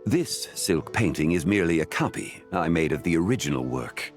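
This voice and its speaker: Very deep-voiced, formal gentleman scholar. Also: very animated, faint music behind. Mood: composed, courteous. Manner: formal, measured, unhurried phrasing. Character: composed, learned, courteous gentleman antiques connoisseur. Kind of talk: scripted video game dialogue acting.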